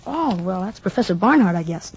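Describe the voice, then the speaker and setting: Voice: medium pitch, lively intonation, brisk, lightly acted. A performer playing an eager, inquisitive young American boy in a 1950s radio drama. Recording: dull vintage vinyl radio recording, hissy.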